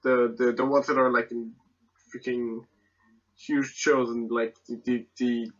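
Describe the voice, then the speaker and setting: A man's voice: medium pitch, little pitch movement, unhurried, highs cut off. A young man, chatting casually as a podcast guest, hesitating and repeating his words. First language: Spanish and French.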